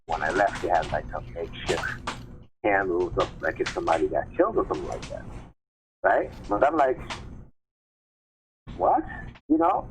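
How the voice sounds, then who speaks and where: Low-voiced man on a noisy phone line. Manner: insistent, rhetorical questions and repeated check tags like "right?" and "okay?". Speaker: live stream host. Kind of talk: casual live stream monologue. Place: remote call-in on a live stream. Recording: muffled phone-line audio with clear noise.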